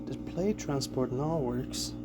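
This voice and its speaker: A man's voice: mid-range voice, slow, over loud music. A man casually narrating a hands-on demonstration and repair of vintage gear, thinking aloud as he explains. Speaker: vintage tech presenter.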